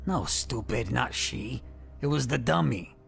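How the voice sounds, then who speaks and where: Tough noir manager, gravelly fry, commanding, cryptic, ominous, music underneath. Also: male voice, medium pitch, lively intonation, a little slow, a bit bright, exaggerated voice. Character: tough, menacing, cryptic male showbiz manager. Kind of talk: noir audio drama acting.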